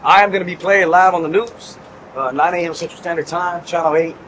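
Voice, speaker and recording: A man from Fort Worth, a singer talking excitedly to camera, vlog style. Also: a little high, fairly quick, noisy background. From Fort Worth, Texas. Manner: excited, upbeat. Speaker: country singer.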